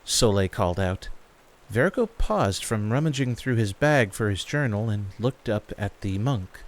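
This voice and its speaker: A man's voice: fairly low pitch, animated, moderate pace. A man reading scripted story narration as the narrator of a fantasy audio drama.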